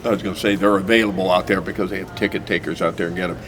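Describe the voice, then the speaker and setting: Deep-voiced host with a rambling manner. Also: male voice, steady tone, racing, fairly bright tone. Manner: rambling, with many fillers and restarts. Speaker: talk show host. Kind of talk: casual local TV interview. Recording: noisy recording.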